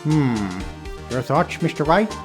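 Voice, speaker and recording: A man's voice: fairly high pitch, very animated, slow pace, music in the background. A man playing a pompous, easily baffled old judge in a courtroom game, speaking formally and ponderously. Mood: pondering. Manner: formal, ponderous, puzzled questioning. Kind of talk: dubbed courtroom game acting.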